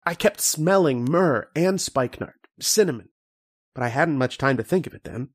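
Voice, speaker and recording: A man's voice: a little high, expressive, moderate pace. A man playing a learned, patient archaeologist who explains and narrates in an old-time radio horror drama style. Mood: uneasy, brooding. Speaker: lead actor and narrator.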